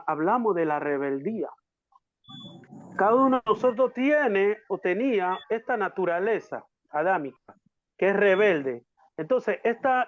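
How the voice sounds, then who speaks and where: A man preaching a sermon as a pastor, haltingly, repeating words for emphasis. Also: high-pitched. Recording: echoey, dull lo-fi audio.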